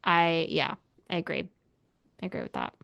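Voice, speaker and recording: A woman's voice: low voice, wildly swooping pitch, moderate pace, vocal fry, a bit bright, no echo, dull audio. A woman talking casually as co-host of a book club podcast.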